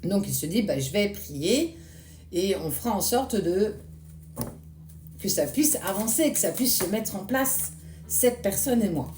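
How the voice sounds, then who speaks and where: Hoarse French-speaking tarot reader, mellow. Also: female voice, mid-range voice, expressive, a little slow, echoey room, a little hiss or hum. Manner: addresses viewer directly with soothing reassurance. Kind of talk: casual spoken tarot reading.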